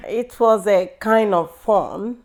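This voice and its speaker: Slow-speaking woman. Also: medium pitch, darkish tone, soft background music. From Nigeria. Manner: unhurried, fond, many fillers. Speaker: interviewee. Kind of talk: casual reminiscing.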